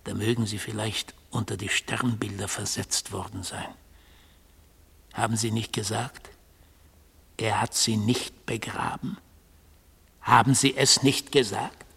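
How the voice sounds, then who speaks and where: An actor in a German radio drama playing a mystical god on trial, a fanatical defender of order who speaks solemnly and insistently, at times dropping to a hushed intensity. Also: male voice, on the low side, steady tone, measured pace, gravelly, pauses often, breathy edge, exaggerated voice. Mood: hushed insistence. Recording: long hall-like reverb.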